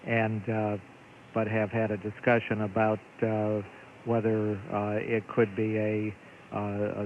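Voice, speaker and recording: An American man giving official launch control commentary in a measured, formal manner with halting pauses. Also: lowish voice. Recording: noisy, phone-like narrowband radio audio.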